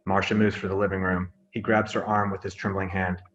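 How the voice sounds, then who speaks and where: A man's voice: sits low, little pitch movement, fairly quick, a bit bright, lo-fi sound. A man reading out scripted stage directions as narrator of a drama table read.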